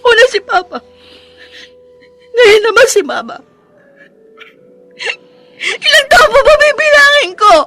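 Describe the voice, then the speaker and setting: An actress playing an emotional adopted daughter in a Filipino radio drama, with a Filipino accent. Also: female voice, very high-pitched, sing-song, takes it slowly, long pauses, sharp tone, heavily acted. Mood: anguished, tearful. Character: grief-stricken adopted daughter, anguished and bitter at God. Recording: music under the voice.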